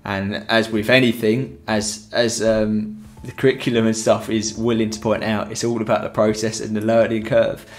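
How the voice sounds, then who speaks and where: Chatty young man, low voice. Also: little pitch movement, normal speed, hardly any pauses. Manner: rambling, chatty, many fillers. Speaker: photography tutor. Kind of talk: casual live-stream tutorial. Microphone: headset.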